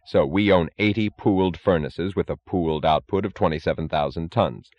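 Man reading as a cold, deep-voiced, unbothered industrialist. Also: a little mellow, dull audio. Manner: slow, cold, indifferent, matter-of-fact. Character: calm, blunt, defiant male steel industrialist, coldly logical.

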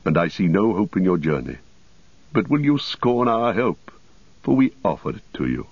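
A man voicing a wise, steady old wizard with measured, solemn archaic speech in an audiobook. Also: low-pitched, slightly rough, no echo, muffled recording, exaggerated voice. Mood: grave, offering help.

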